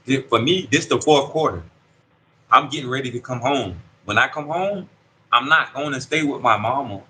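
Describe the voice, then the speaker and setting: A man's voice: mid-range voice, lively intonation, normal speed, sharp tone, a little hiss or hum, low-quality recording. A man in his thirties, an incarcerated interviewee, talking casually in street slang and often checking in with the listener. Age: 30s. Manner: street slang, keeps asking "you see what I'm saying". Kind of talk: casual conversational storytelling. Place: prison dorm.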